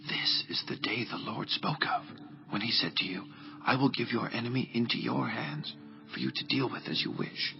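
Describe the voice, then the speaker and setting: A man playing a conspiring soldier secretly urging his leader, whispery and creaky, in a scripture drama. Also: medium pitch, normal speed, natural voice. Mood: conspiratorial. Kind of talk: dramatized scripture audio acting. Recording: dull audio with clear music.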